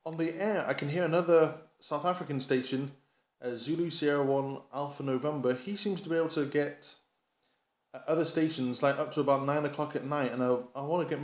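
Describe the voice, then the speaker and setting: A man from England giving a casual hobby vlog update, rambling enthusiastically and haltingly, often repeating words as he goes. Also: fairly high pitch. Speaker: amateur radio hobbyist vlogger. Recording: echoey, roomy sound.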